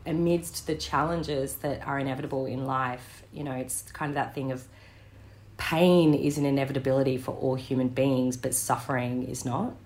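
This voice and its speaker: An Australian woman in her thirties, an interview guest telling family stories in a thoughtful way, pausing with ums and restarting her sentences. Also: extremely low pitch, steady tone, darkish tone. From Western Sydney, Australia. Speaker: interview guest, director and actor. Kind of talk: reflective conversational storytelling.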